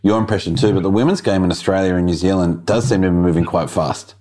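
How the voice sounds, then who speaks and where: A man hosts a relaxed sports interview, eager and encouraging toward his guest. His voice sits a little low, and he laughs easily at the guest's jokes. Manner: enthusiastic, friendly, quick to laugh. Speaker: podcast host.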